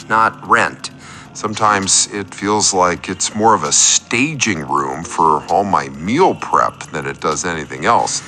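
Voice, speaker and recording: A man's voice: on the low side, sharp tone, slight background noise, full character voice. A man playing an eager, fawning tradesman who calls a radio doctor, earnest, rambling and oversharing in a comic radio play.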